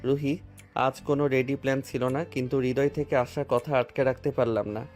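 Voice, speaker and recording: A man voicing a devoted, earnest young lover with a tender, even delivery in a romantic audio drama. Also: mid-range voice, quick pace, soft background music, natural voice. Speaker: voice actor.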